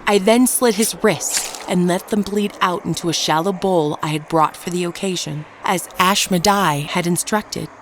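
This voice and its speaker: A woman playing a ruthless, unrepentant ageless murderess, narrating her tale in a measured, dramatic audio drama style. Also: fairly low pitch, slight background noise, natural voice. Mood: cold, gloating. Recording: narration over prominent background music. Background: music.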